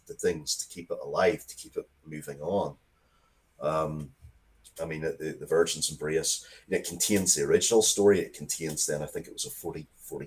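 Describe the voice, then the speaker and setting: Very deep-voiced man, casual storyteller. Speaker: interviewed writer of comics and stories. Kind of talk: casual interview, storytelling about own work.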